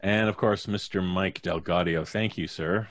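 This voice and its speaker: A man's voice: sits low, fairly even intonation, moderate pace. A middle-aged man hosting a live stream with casual, jokey banter, close on a studio mic. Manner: jokey, playful. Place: indoor home studio. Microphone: studio mic on boom arm, headphones.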